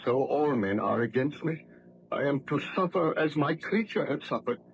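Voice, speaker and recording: An anguished baron and scientist, desperate with guilt. His delivery is melodramatic and formal in the old radio manner, in a slightly high male voice. The recording is vintage, with some noise. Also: lively intonation, normal speed, creaky, poor audio. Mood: grim resolve. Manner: theatrical, impassioned, formal diction. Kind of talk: old-time radio drama acting.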